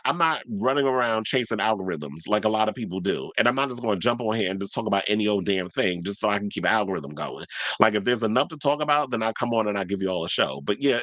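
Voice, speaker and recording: A man's voice: lowish voice, a bit bright, no room sound. A man hosting a celebrity gossip show, talking in a blunt, chatty way, with a stuffy, congested voice. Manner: chatty, blunt, swears freely. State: congested from allergies. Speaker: celebrity gossip podcast host. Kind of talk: casual solo commentary.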